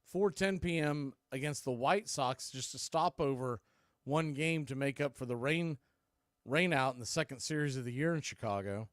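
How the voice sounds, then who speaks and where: A man's voice: mid-range voice, normal speed, dry recording, clean studio sound. A man calling live baseball play-by-play for radio in clipped, punchy phrases. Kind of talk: live radio sports commentary.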